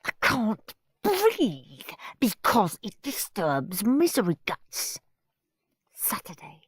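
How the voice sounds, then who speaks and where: A woman's voice: mid-range voice, huge pitch swings, takes it slowly, husky, no room sound, clean studio sound, exaggerated voice. A woman reading aloud, voicing a cheeky, fed-up young boy reading out his grumbling diary entries. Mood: sulky.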